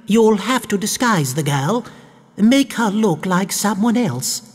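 A man's voice: high-pitched, animated, a little slow, husky, in a large hall, voice pushed up, heavily acted. A man voicing an imperious, plaintive, scheming baroness in a storybook tale. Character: scheming, melodramatic baroness desperate for an heir. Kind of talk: storybook character voice.

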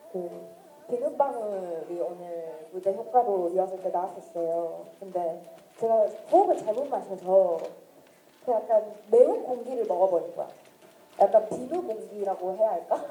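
A woman's voice: mid-range voice, mellow. A young woman in her twenties, a singer chatting and telling stories to fans at a signing event, giggling often. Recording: echoey event hall.

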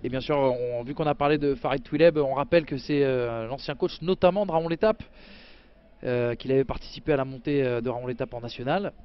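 A man doing play-by-play football commentary, chatty and jokey, bursting with excitement on big chances. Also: mid-range voice, fairly quick, clear, resonant tone, highs cut off.

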